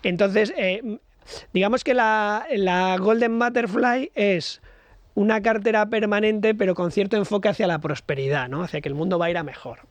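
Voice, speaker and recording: A man's voice: high-pitched, normal speed, dry recording. A man, a guest expert on a radio show, explaining investment ideas with many hesitant fillers. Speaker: guest financial educator. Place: radio studio.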